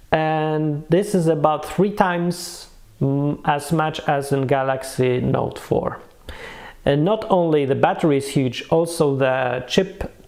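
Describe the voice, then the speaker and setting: A man with a slightly high voice and a distinctly creaky, gravelly edge talks through a review in a casual, unscripted way. He is emphatic and repeats himself to drive points home, with a dry, offhand sense of humour. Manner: animated, emphatic, repeats words for effect. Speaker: product reviewer.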